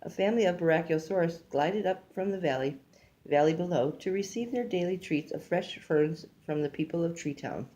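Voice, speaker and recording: An older woman reads a picture book aloud like a grandmother, haltingly, stumbling over words and adding casual asides. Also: lowish voice, warm tone. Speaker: grandmother reading a storybook aloud.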